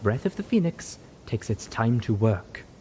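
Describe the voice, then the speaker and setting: A man's voice: medium pitch, big pitch swings, a little slow, a little mellow. Man voicing a stern, intoning zebra healer. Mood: firm. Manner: intoning, sighing. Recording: roomy echo, audible noise.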